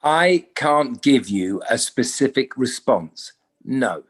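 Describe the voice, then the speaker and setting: An actor playing a defensive company manager giving guarded, evasive testimony in a courtroom drama table read. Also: male voice, mid-range voice, lively intonation, slow pace, breathy edge. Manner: guarded, terse, evasive answers.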